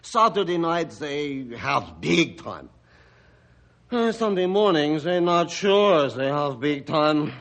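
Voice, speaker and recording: Big French-Canadian lumberjack, animated, slow-talking. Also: male voice, fairly high pitch, full character voice. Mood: earnest, troubled. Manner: halting broken English, speaks of himself by name. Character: huge, hearty, good-natured lumberjack with simple broken English. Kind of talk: old-time radio drama acting.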